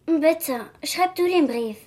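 A woman's voice: sits high, lively intonation, slow, a little mellow. An actress playing a nine-year-old refugee girl in a German radio drama, youthful and earnest, sad and pleading.

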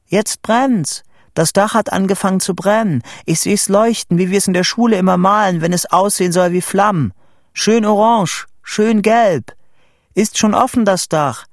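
Excited young boy, played by a male reader, dramatic audiobook dialogue, raised high, loud exclamations. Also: normal speed, no echo, studio quality, natural voice. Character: excited boy shouting a dramatic eyewitness report.

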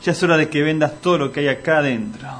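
A man plays the sensible, sarcastic friend in an Argentine radio comedy. His fairly high voice chides drily in a Rioplatense accent, over clearly audible background noise. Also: fast talker, fairly bright tone, lightly acted. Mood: firm, chiding. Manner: dry, chiding. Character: exasperated, sarcastic sensible friend of a hapless dreamer. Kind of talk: comic radio drama acting.

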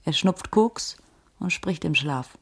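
Low, gravelly, world-weary streetwise woman. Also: animated, slow pace, a bit bright, heavily acted. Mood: insistent, knowing. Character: careworn, streetwise female ex-cop with a tip. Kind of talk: radio drama dialogue, hard-boiled crime.

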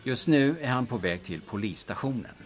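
A man's voice: mid-range voice, expressive, lightly acted. A man playing a pushy, self-important and overly polite auctioneer type in an audio drama. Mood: ingratiating. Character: smooth, intrusive auction-house man, self-important and ingratiating.

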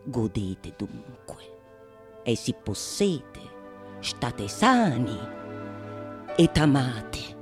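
Slow, solemn theatrical philosopher. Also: female voice, extremely low pitch, sing-song, darkish tone, faint music behind, full character voice. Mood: grave, hushed. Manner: solemn, declamatory, deliberate with long pauses. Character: grave, ardent philosopher-author declaiming a dedication. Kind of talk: theatrical monologue, radio theatre.

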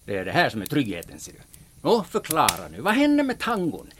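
A man's voice: medium pitch, huge pitch swings, background noise. A man playing a gloomy, grumpy Finn. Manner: grumpy, scornful. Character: gruff gloomy Finnish man with a rifle. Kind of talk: audio drama acting.

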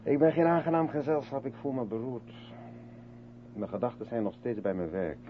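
A man's voice: mid-range voice, normal speed, lightly acted. An actor playing a moody, sardonic male writer in a radio play, with a terse, dry, needling manner. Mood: sullen. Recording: muffled, phone-like 1970s radio recording, hum.

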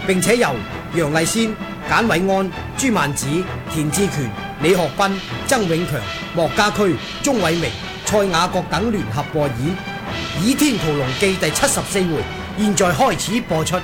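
Casting: male radio announcer, high pitch, formal credits read, Hong Kong Cantonese, clear music bed. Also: expressive, moderate pace. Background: prominent music.

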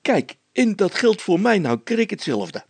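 A man's voice: high voice, sing-song, measured pace, vocal fry, darkish tone, slightly rough, no echo, voice pushed up, exaggerated voice. A man voicing a cocky young poacher boy in a story, cheeky, stubborn and boastful, with colloquial speech. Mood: defiant.

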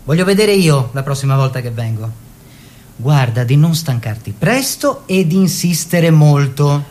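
Earnest, devoted young husband. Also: male voice, a little high, big pitch swings, a little slow, darkish tone. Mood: earnest, instructing. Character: devoted young painter husband, earnest, protective, gently instructing. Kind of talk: theatrical monologue and letter reading.

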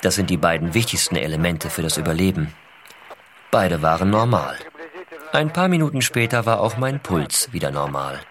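An actor playing an American astronaut father, reading his letters in a measured, intimate, explanatory way. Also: sits low, a bit bright. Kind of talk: scripted first-person letter narration.

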